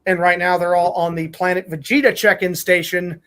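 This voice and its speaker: A man's voice: high-pitched, steady tone, brisk, a bit bright. A man hosting a podcast, reading fan fiction aloud and chatting casually. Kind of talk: casual read-aloud and group chat.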